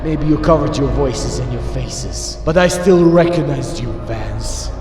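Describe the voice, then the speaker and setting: A man's voice: medium pitch, a little slow, recorded from a distance, lightly acted. A man playing a determined, protective wasteland rescuer in a game roleplay, urgent and earnest. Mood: wary. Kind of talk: amateur video game roleplay acting. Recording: echoey hall reverb, faint music.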